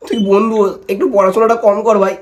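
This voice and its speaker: A young man with a slightly high voice and a West Bengali accent. He talks like a casual vlogger on a prank call, playful and mock-dramatic, often pleading with whoever he is addressing. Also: level tone, quick pace. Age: young adult. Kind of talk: casual vlog chat and prank phone calls.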